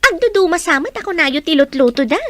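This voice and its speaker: A woman's voice: very high voice, expressive, fast, dry recording. A woman playing a haughty young student with a blunt, complaining manner in an audio drama. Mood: impatient. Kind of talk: Ilocano audio drama acting.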